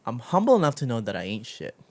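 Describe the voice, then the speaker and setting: Guy hosting a laid-back chat interview, talks informal, slangy, swears a bit, mid pitch. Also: huge pitch swings, quick pace. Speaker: interview show host. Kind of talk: casual conversational interview.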